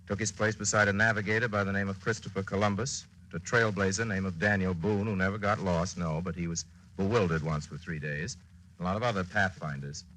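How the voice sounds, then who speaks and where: A man's voice: deep voice, sharp tone, slight background noise, poor audio. A male actor playing a wry, folksy, dramatic storyteller narrating a wartime radio play in 1940s style. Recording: old radio recording with music.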